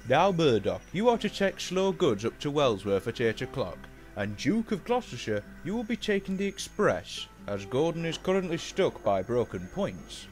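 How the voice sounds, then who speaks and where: A formal, fatherly male railway boss from a children's storybook, acted by a male narrator. He gives orders with authority and a very lively swing in pitch, with music playing behind. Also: normal speed, few pauses. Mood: brisk, commanding. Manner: formal, commanding.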